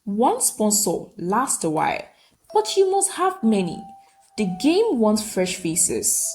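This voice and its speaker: A woman's voice: mid-range voice, huge pitch swings, takes it slowly, a little mellow, faint music behind, heavily acted. A woman storyteller voicing a cunning, pushy young woman, a scheming false friend in a storybook tale, coaxing and calculating. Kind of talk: storybook character dialogue.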